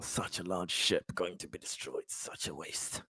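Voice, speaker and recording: Halting low-voiced man, dry sound. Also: pushed and bright, breathy edge, dry recording. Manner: halting, hesitant phrasing with pauses. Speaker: tabletop game player. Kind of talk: improvised in-character roleplay and casual table talk.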